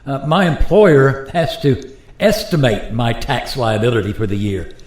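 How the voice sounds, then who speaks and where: A man acts out an easygoing present-day pilot in a radio play. He explains things conversationally, with an animated, mid-pitched voice. The room rings around him. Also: unhurried, close to an everyday voice. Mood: resigned, explaining. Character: George, easygoing modern airline pilot explaining today's world. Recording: roomy, echoing sound.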